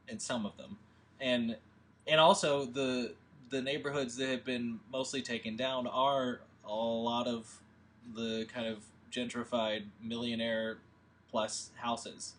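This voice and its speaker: Man, mid-pitched, hesitant filmmaker type, rambly and casual. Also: fairly bright tone. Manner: rambling, hesitant, many fillers. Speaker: filmmaker leading a table read. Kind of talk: casual discussion.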